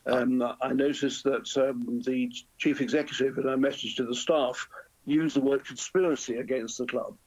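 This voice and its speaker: A man in his seventies being interviewed live on radio. His voice is rough and husky at a middling pitch, and he repeats words hesitantly. The sound is a little dull and narrow, as if on a remote line. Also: fairly even intonation, normal speed, a little mellow. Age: 70s. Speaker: interview guest, former football club chairman.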